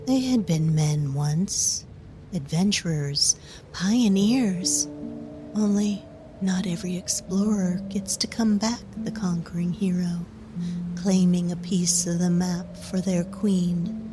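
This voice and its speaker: A woman performing as a solemn storyteller, giving measured, grave scripted narration for a dark fairy-tale drama. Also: fairly low pitch, over music. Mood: grave, hushed.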